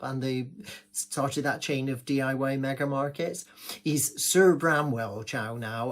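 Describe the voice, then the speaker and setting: A man's voice: fairly high pitch, lightly acted. A man acting a gossipy village pantomime pianist. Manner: confiding, rambling, gossipy, with malapropisms. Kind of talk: theatrical comic monologue.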